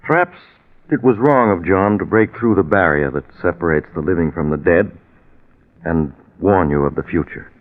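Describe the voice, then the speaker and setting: Radio drama role: the concerned, level-headed friend, man, low and animated, deliberate, admonishing, 1940s delivery on narrow old recording. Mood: earnest reasoning. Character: concerned, steadying male friend of a doomed man.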